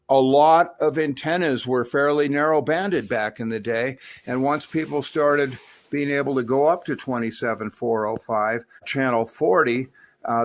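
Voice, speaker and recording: Man demonstrating gear, medium-pitched voice. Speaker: host demonstrating radio gear. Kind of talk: hands-on product demo talk.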